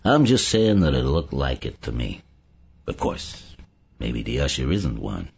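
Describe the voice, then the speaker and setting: A man's voice: a bass voice, big pitch swings, measured pace, vocal fry, breathy edge, dull audio, voice pushed down, full character voice. A man voicing a sly, bland infiltrator in an audiobook, talking in a confiding, insinuating way. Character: sly, bland male infiltrator posing as prim convention delegate.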